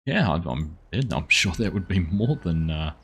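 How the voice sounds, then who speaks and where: A man's voice: low-pitched, animated, a little slow, fairly bright tone, husky. A man chatting casually while live-streaming a farming game, thanking viewers and answering their messages.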